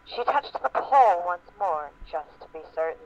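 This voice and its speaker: A man's voice: high voice, animated, moderate pace. A man reading fan fiction aloud in an amateur group dramatic reading. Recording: muffled noisy lo-fi voice-chat recording.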